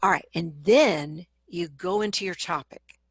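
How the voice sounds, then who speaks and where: A woman speaking as a vocal coach in an interview, explaining advice conversationally and acting out examples in an animated manner. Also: lowish voice, measured pace, no room sound, studio quality.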